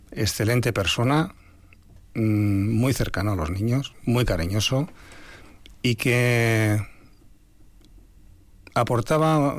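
An older Spanish man, an author being interviewed, talking in a reflective, measured way with some hesitations. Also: fairly low pitch, no room sound. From Spain. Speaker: author guest. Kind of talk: radio interview.

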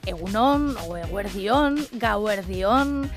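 A woman's voice: mid-range voice, animated, very slow. A woman presenting a scripted literary radio programme as its host. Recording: music playing behind the voice. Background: background music.

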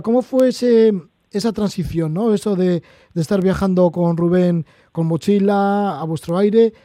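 Radio host guy, high voice but super mellow dark tone, dry studio sound, friendly questions. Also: dry recording. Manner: friendly, asks leading questions. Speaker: radio interviewer. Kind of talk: radio interview.

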